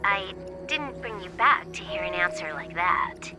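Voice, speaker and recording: Playful young hacker for a game: wry, casual, highly animated delivery over music. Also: female voice, a little slow. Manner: teasing, nonchalant. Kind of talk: video game voice acting. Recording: music behind the voice. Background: background music.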